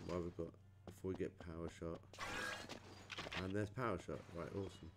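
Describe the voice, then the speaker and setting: British guy doing a chatty game walkthrough, kinda low voice, thinking out loud, noisy clicky home mic. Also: steady tone, normal speed. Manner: chatty, informal, thinking aloud. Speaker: gamer recording a playthrough. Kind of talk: casual game walkthrough commentary.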